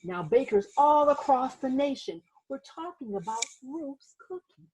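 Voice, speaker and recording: A woman reading a children's story aloud like a teacher, expressive and dramatic, with asides to her listeners. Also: sits high, measured pace, poor audio. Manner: animated, dramatic, acts out sound words and exclamations.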